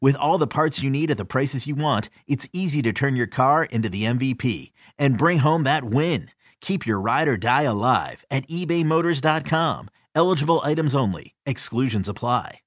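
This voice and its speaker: A man's voice: mid-range voice, expressive, normal speed, no room sound, clean studio sound. A man reading a scripted ad with upbeat, punchy delivery. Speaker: ad announcer. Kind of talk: scripted radio ad read.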